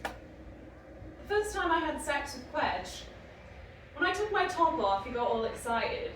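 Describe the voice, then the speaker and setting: A woman's voice: high voice, very animated, unhurried, fairly bright tone, exaggerated voice. Woman acting wry young woman, heavily echoing room. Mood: candid, exasperated. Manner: casual, wry, confessional. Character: irreverent, frank young human woman, sardonic and curious. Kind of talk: sci-fi audio drama acting and narration. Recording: very echoey, distant, clearly noisy.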